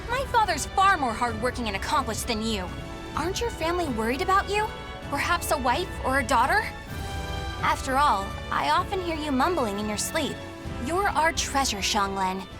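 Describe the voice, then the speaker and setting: A woman's voice: high voice, sing-song, normal speed, over music. A woman playing a feisty, stubborn young warrior in a video game story, speaking with a spirited, defiant manner. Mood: teasing, scolding. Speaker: protagonist and narrator of game story.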